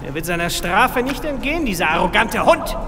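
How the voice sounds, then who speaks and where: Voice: mid-range voice, sing-song, brisk, lightly acted. An actor playing a vengeful, megalomaniac nerd supervillain in a comic radio play, ranting pompously and theatrically. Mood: aggrieved. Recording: long reverb, music behind.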